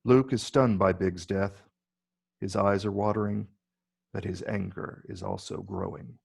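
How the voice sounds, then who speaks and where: A man narrates a script's stage directions in a low register. His voice has a heavy gravelly fry as he reads out the scripted action. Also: lively intonation, unhurried, darkish tone.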